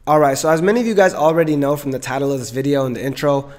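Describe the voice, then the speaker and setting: A man in his twenties, an entrepreneur vlogger, talking straight to camera in an energetic, emphatic and persuasive way. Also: mid-range voice, very fast, over loud music. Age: 20s. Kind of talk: direct-to-camera business vlog.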